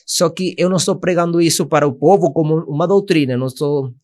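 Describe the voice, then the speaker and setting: A man's voice: a little high, fast, a little mellow. Earnest young Venezuelan man. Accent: Venezuelan Spanish. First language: Spanish. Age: 20s. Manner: earnest, searching for Portuguese words. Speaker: missionary guest. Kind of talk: podcast testimony.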